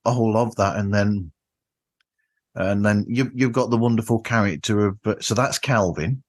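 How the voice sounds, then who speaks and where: A man hosting a podcast, chatting casually and effusively with halting phrasing. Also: on the low side. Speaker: podcast host. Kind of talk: casual interview.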